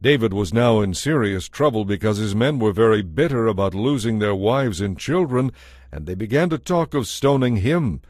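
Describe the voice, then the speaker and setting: A man narrates scripture in a solemn, measured storytelling style. His voice is fairly low, and the recording is dry and close. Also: lively intonation, a little mellow, no room sound. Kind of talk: scripted dramatized Bible narration.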